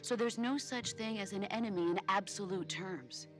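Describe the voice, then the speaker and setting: An actress playing a composed, solemn female war-hero mentor in a video game, measured and authoritative. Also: mid-range voice, faint music behind, close to an everyday voice. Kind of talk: video game cutscene acting.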